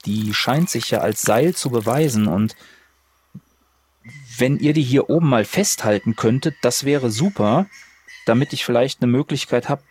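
Man playing a tabletop game, echoey room. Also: mid-range voice. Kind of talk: casual improvised play, describing own moves. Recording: echoey reverberant room with audible noise.

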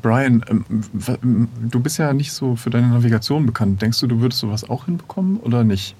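Husky guy playing an improv adventure game, asks stuff, bit hesitant. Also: medium pitch, brisk, darkish tone. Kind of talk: casual improvised role-play.

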